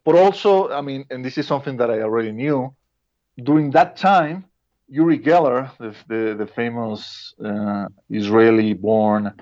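A man's voice: mid-range voice, moderate pace, darkish tone. A man with a Mexican Spanish accent, a native Spanish speaker, chatting casually on a podcast, hesitant with many restarts. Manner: hesitant, many restarts and fillers, laughs often. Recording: dull lo-fi sound.

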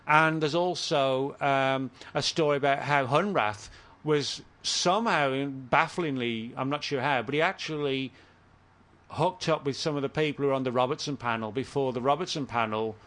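A man's voice: mid-range voice, moderate pace, fairly bright tone. A man in his sixties telling a story in casual conversation, with many fillers and restarts.

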